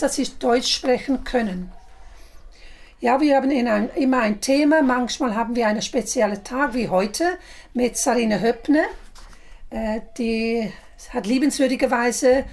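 A woman's voice: high-pitched, a little mellow. South African woman, hesitant German. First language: English. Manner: hesitant, many "äh" fillers. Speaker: women's group leader. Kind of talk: casual interview.

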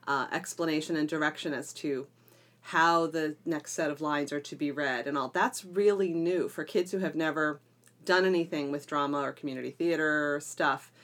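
A woman's voice: sits low, moderate pace, a bit bright. A middle-aged woman talking conversationally as a podcast co-host, explaining things with emphatic phrasing. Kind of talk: conversational educational podcast discussion.

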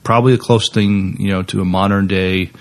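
A man's voice: low-pitched, steady tone, a little fast. A man co-hosting a podcast in a laid-back way, often chiming in with short agreeing replies. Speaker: podcast co-host. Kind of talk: casual podcast chat.

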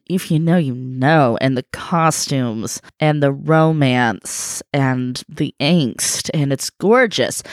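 A woman's voice: a bass voice, smooth, no room sound, studio quality. A woman co-hosting a podcast, chatting casually and laughing often, still a little phlegmy from being sick. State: congested, phlegmy from illness. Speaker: podcast co-host. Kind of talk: casual chat.

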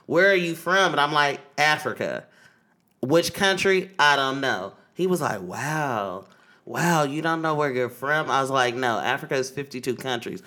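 A man from Oakland talks through a casual podcast story. He uses loose slang and swears freely. His voice has a bright, forceful edge, and his pitch sits in the middle. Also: moderate pace. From East Oakland, California. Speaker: podcast co-host.

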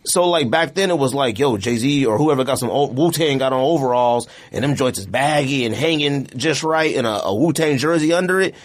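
A man's voice: medium pitch, brisk, few pauses. A man co-hosting a casual podcast in slangy, argumentative banter. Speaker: podcast co-host.

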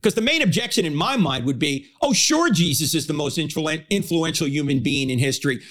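Hoarse jersey guy hosting a radio show, punchy and loud, talks a bit high. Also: expressive, brisk. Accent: New Jersey. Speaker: radio and podcast host. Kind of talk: casual radio talk.

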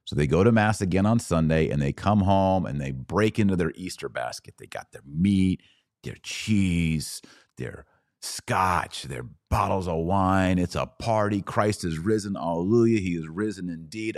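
A man's voice: deep voice, measured pace, no room sound, studio quality. A man hosting a podcast, explaining religious practice in an informal, enthusiastic way and often answering his own questions. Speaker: podcast host.

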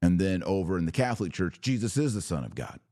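A man's voice: low voice, very animated, fast talker, dark tone, no room sound, clean studio sound. A man hosting a religious podcast, giving emphatic, dogmatic commentary in short punchy phrases with rhetorical questions. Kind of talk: opinionated religious commentary, talking to listeners.

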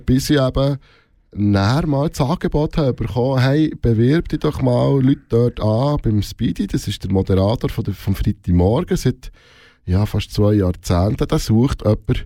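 A man with a Swiss German accent and a mellow, mid-pitched voice, recorded dry and close. He talks casually as an interviewed radio presenter, circling back and rewording as he goes. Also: no echo. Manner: hesitant, rephrasing often, repeating words mid-sentence. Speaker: radio host being interviewed.

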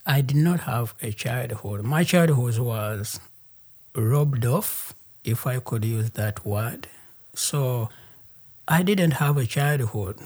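A man with a Ugandan accent telling a personal story as an interview guest, in deliberate, halting phrases. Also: mid-range voice, expressive, darkish tone, slightly rough. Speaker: interview guest, human rights activist.

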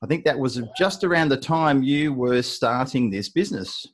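A man's voice: medium pitch, animated, moderate pace. A man hosting a business podcast, talking in a chatty, rambling way with many restarts as he introduces a guest. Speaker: podcast host. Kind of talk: podcast interview.

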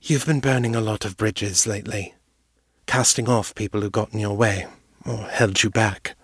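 A man voicing a gentle, devoted undead woman who tenderly calls her friend darling. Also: on the low side, fairly even intonation, moderate pace, breathy edge, dry recording, studio quality. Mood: worried. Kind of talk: audiobook character voice.

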